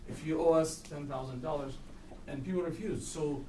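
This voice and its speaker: A man from Palestine speaking up at a public talk, earnest and halting with many restarts. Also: a little high, a little mellow. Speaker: audience member speaking at a talk. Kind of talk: public Q&A comment. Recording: echoey room, distant mic, noisy.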